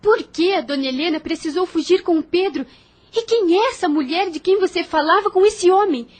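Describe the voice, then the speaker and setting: A woman's voice: extremely high pitch, brisk. A woman voicing a firm, worried young sister who questions her brother insistently in an audio drama.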